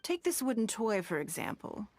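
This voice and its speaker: A woman's voice: on the high side, big pitch swings, moderate pace, darkish tone, slight background noise. An actress playing a shrewd, wealthy noblewoman in a video game, composed, assured and refined. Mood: appraising. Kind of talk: video game character acting.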